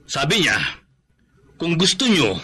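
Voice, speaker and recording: Sharp, stern Filipino debt collector. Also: male voice, on the high side, big pitch swings, takes it slowly, breathy edge, heavily acted. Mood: stern, demanding. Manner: blunt, demanding. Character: stern male agent of a landowning moneylender. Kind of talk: Filipino radio drama acting.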